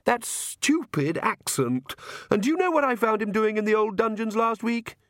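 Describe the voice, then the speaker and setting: A man's voice: high-pitched, animated, normal speed, a bit bright, dry recording, clean studio sound, voice pushed up. A man voicing a snobbish, fussy middle-aged vampire countess in a storybook, affected and disdainful.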